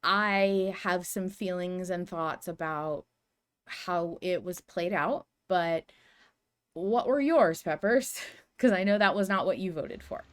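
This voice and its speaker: A woman's voice: fairly low pitch, takes it slowly, clear, resonant tone. A woman hosting a podcast in a casual fan discussion, explaining things carefully and with emphasis. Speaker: podcast host.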